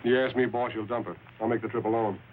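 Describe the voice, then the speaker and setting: A man's voice: medium pitch, little pitch movement, fast talker, lightly acted. An actor playing a tough, curt, wisecracking gangster henchman in a 1940s film noir style. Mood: skeptical. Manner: curt, hard-boiled. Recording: tinny, hissy old film soundtrack.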